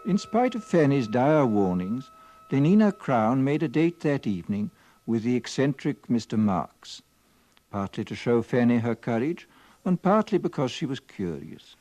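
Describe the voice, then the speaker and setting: Actor as a mellow, formal male radio narrator. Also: mid-range voice, big pitch swings, natural voice. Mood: measured. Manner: measured, formal. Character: measured male storyteller of a satirical future. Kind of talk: scripted narration, 1950s radio drama.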